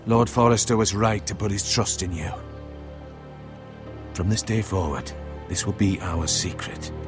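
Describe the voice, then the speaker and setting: An actor playing a grave, trusting counsellor of a noble house in a fantasy game, speaking in a measured, guarded, solemn way. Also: male voice, lowish voice, music in the background, natural voice. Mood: secretive, grave. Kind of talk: dramatic fantasy game acting.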